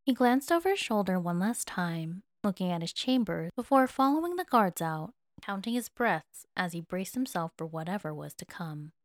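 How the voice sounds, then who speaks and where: A woman reading scripted fiction as narrator, in a measured, expressive manner. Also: lowish voice, dry recording, clean studio sound. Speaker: narrator, fan fiction reader.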